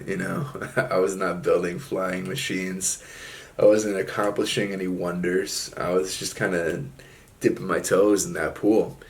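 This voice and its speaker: Deep gravelly man musing casually. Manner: laid-back, drawling, frequent fillers like "man" and "like". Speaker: solo video host. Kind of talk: casual reflective monologue.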